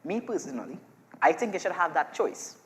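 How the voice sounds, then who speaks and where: A woman acting in a stage play as an eager, earnest debater, with a Trinidadian accent and an animated, interjecting manner. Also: low-pitched, a little fast. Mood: earnest, persuasive. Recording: echoey stage hall.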